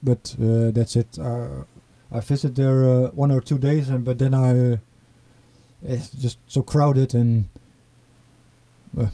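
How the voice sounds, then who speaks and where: A man's voice: mid-range voice, fairly even intonation, dark tone. A man from the east of the Netherlands with a Dutch accent, talking hesitantly as a guest in casual conversation. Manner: hesitant, halting with many fillers.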